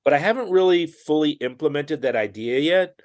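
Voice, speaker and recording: A man's voice: on the high side, lively intonation, brisk. A man speaking as a podcast guest in casual conversation, chatty, with frequent fillers and restarts. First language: English.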